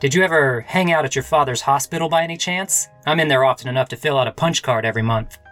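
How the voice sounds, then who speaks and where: A world-weary noir gumshoe played by a woman, pitched very low, delivers deadpan wisecracks in a radio mystery. He is hard-boiled and wry, with faint music playing behind the voice. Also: fast. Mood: smooth, probing. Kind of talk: radio-play noir narration and dialogue.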